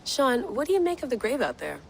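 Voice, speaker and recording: An actress playing a troubled, earnest agent, hesitant but determined, in naturalistic video game dialogue. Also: female voice, high-pitched, big pitch swings, a little fast, creaky, slight background noise, full character voice. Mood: uneasy, earnest.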